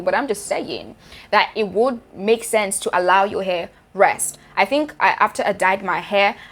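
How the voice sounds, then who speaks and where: A young Nigerian woman with a Nigerian accent, giving chatty, direct hair care advice to her viewers in a casual vlog. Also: mid-range voice. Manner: chatty, direct, addresses viewers as sis and guys.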